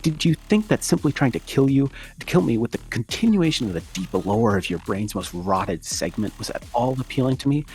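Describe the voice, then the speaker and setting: A man acts out a pompous, scornful internet commenter in a comedic dramatic reading. His voice sits fairly low but swings widely with theatrical outrage. He speaks in overwrought, condescending declarations over faint music. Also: darkish tone. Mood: indignant.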